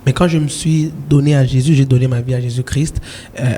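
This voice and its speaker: A man from eastern DR Congo, a gospel singer, answering interview questions calmly with hesitant restarts. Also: mid-range voice, brisk, slight background noise. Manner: calm, hesitant with repeated restarts.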